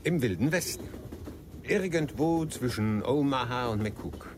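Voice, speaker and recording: An actor in a radio play playing a wry, urbane, nostalgic middle-aged bridegroom. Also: male voice, mid-range voice, expressive, measured pace, background noise, natural voice. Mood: wry, relaxed. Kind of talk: 1990s German radio play acting.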